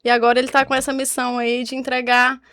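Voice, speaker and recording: Fairly high-voiced Brazilian woman co-host. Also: monotone, fast, a bit bright, dry recording, studio quality. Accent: Brazilian, Goiás. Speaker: podcast co-host. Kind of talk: casual interviewing.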